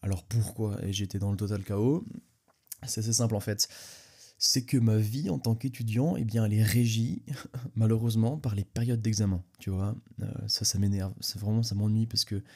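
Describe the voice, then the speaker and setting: A young man with a slightly low voice talks through a head cold, sniffling and congested. He rambles casually, restarting sentences and speaking directly to his listener as a solo podcast host. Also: moderate pace, darkish tone, breathy edge. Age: young adult.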